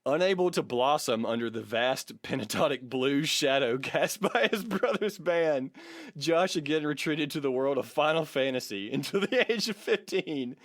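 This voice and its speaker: A man's voice: fairly high pitch, expressive, moderate pace, dry recording, clean studio sound. An American man co-hosting a comedy podcast, reading a biography with mock grandeur, swearing and slipping into casual banter. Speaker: podcast co-host.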